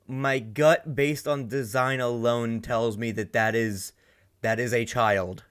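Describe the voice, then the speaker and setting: A man's voice: medium pitch, steady tone, slow, a bit bright, clear, resonant tone. A man hosting a reaction video, rambling and thinking aloud with lots of fillers. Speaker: reaction channel host. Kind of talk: casual reaction commentary.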